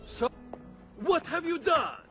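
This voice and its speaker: A man playing a proud, lazy, irritable Italian cannon maker in a video game, with a gravelly voice and an Italian accent. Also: very high voice, very animated, slow, sharp tone, faint music behind, heavily acted. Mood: scolding. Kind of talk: video game cutscene acting.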